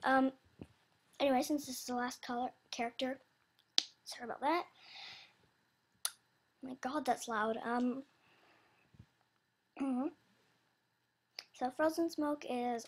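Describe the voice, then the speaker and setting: A young woman with a high, youthful, slightly childish voice talks casually and off the cuff. She speaks slowly, rambling and hesitating as she searches for the right words. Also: steady tone, fairly bright tone, slight background noise. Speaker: video creator announcing animation voice auditions. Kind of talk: casual unscripted chat.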